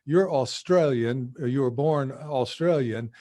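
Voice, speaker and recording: A man from the American South hosting an interview, asking long, rambling, animated questions. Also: mid-range voice, unhurried. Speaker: podcast host. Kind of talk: conversational interview.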